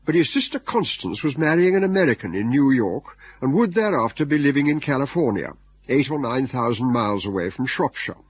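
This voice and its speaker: A man's voice: mid-range voice, husky. An Englishman narrating a radio comedy drama from a script in a wry, storytelling manner.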